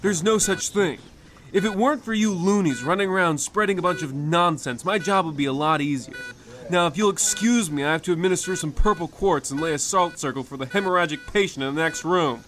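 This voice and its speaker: Man as pompous radio-play doctor, very high voice, scolding, bossy, music behind. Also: brisk, fairly bright tone, close to an everyday voice. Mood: irritated. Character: brusque, irritable quack doctor, bossy and menacing. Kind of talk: comedic old-time radio play acting.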